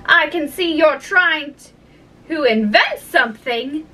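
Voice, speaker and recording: A woman's voice: sits high, lively intonation, slow, bright, forceful tone, far from the mic, voice pushed up, heavily acted. A woman voicing an exasperated, long-suffering mother pigeon in a storybook, complaining and scornful. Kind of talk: storybook character voice.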